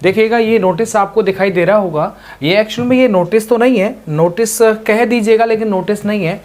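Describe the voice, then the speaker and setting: A woman speaks at a middle pitch, hosting an informal update. She addresses viewers directly, circling back over her points and adding small check-in phrases as she explains. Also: a little mellow. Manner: repetitive, explanatory, direct address with verbal tags. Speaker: host of exam update videos.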